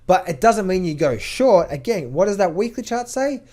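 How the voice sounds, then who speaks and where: A man working as a trading coach gives an emphatic market update over charts, firing rhetorical questions, answering them himself and repeating words in quick bursts. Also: sits high, big pitch swings, darkish tone.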